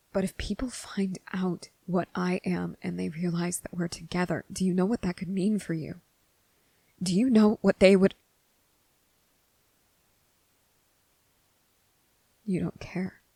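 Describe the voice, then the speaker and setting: A man playing a gentle, anguished young vampire in an ASMR roleplay, speaking in a hushed, halting way. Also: high-pitched, no echo, heavily acted. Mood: disbelieving. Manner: hesitant, halting, breathy, hushed.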